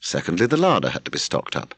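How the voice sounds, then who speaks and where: A man with a British English accent reading a literary memoir as an audiobook narrator, in a measured, expressive storytelling manner. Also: a bass voice, breathy edge.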